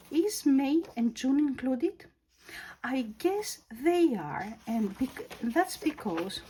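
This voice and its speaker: A woman with a Greek accent, English her second language, casually reviewing a planner and pausing to search for words. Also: on the high side, darkish tone, slight background noise, rough recording. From Greece. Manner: slow, thoughtful, searching for words. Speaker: planner vlogger.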